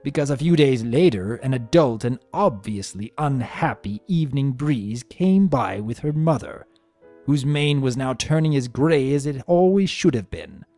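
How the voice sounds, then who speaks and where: A man voicing a vain, spiteful, sarcastic trickster creature trapped as a statue, telling its story with theatrical, sneering, drawn-out emphasis. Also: mid-range voice, expressive, mellow. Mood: sarcastic, irritated. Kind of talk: dramatic first-person story reading. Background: music.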